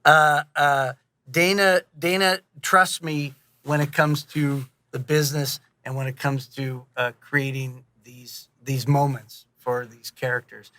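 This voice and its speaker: A man giving a casual interview as a director, halting and repeating his words, with some swearing. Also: medium pitch, steady tone, pushed and bright, dry recording. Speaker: audio drama director and guest.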